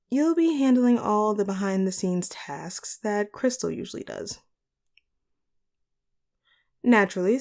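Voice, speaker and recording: A woman playing a polite, composed supervillain boss in a scripted audio roleplay, speaking to the listener in formal, measured phrases. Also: medium pitch, dark tone, close to an everyday voice. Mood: reasoned, diplomatic. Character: polite, charismatic female supervillain sorceress boss, caring and composed.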